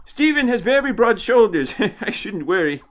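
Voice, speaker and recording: A haughty old eccentric time traveller, querulous high voice, really up and down, sci-fi audiobook. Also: male voice, very animated, normal speed. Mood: assured. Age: older. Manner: haughty, fussy, hesitant turns of phrase.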